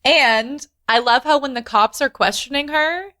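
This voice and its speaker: A woman's voice: high voice, a little slow, bright, forceful tone. A woman chatting informally and agreeably on a podcast. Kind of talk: casual podcast chat.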